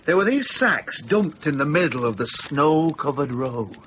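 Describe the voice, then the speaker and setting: High, lively village storyteller. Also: male voice, a little slow. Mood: offhand, relishing. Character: brisk, offhand village storyteller. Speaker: narrator. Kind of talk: dramatised storytelling for radio. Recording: dull radio recording, faint noise.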